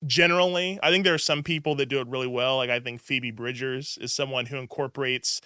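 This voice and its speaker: A man's voice: mid-range voice, steady tone, fast, pushed and bright, clean tone, no room sound, clean studio sound. A man hosting a casual interview, rambling and chatty with many "likes" and "ums". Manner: chatty, rambling, heavy use of "like" and "um". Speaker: podcast host.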